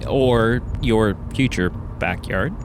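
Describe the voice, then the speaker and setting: A man's voice: medium pitch, slow, natural voice. A man playing a wry, joking friend on a road trip in a comedic audio drama, dry and mock-dramatic. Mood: sarcastic, amused. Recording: car and road noise behind.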